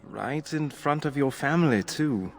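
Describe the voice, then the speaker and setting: A man's voice: medium pitch, expressive, a little slow, creaky. An actor playing a courteous, modest young nobleman in a fantasy game. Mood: polite, dry. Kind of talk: scripted game voice acting. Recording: music under the voice.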